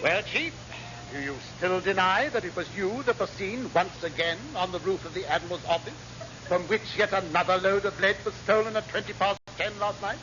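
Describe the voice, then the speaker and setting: An actor playing a smug senior naval officer with a formal, pompous manner in a classic radio sitcom. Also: male voice, high voice, a little fast, natural voice. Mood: smugly dismissive. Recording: muffled, noisy old radio comedy recording.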